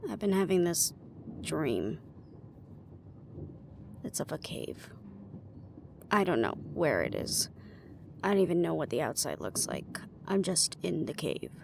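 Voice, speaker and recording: A woman's voice: low voice, sing-song, unhurried, gravelly, pauses often, noisy background, heavily acted. A woman playing a guarded, weary young team leader in a fantasy audio roleplay, with a dry, casual delivery. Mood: weary, confessional.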